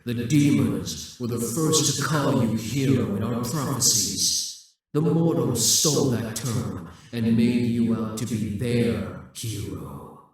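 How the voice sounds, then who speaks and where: A man's voice: mid-range voice, takes it slowly, rough recording. A man voicing a possessive, scheming male demon king in an audio roleplay, speaking in a mocking, menacing way.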